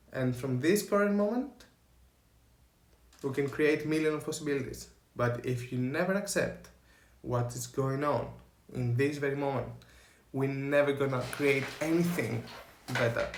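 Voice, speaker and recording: A young man with a Greek accent, talking earnestly to camera in slow, halting speech as he searches for words. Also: mid-range voice, echoey room, slight background noise. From Greece. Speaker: vlogger.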